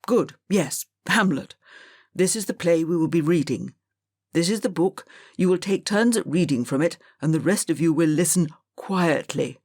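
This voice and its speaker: A woman's voice: fairly low pitch, moderate pace, darkish tone, dry recording, clean studio sound. A woman reading an audiobook, voicing a stern, impatient elderly male schoolmaster who barks orders at his class. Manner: barking, scolding commands.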